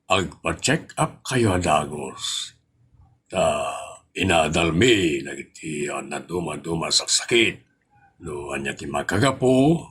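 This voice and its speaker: A man playing a calm hospital doctor with an Ilocano accent, explaining in a measured, halting way in an audio drama. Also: low-pitched, husky, exaggerated voice. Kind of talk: comedy audio drama acting.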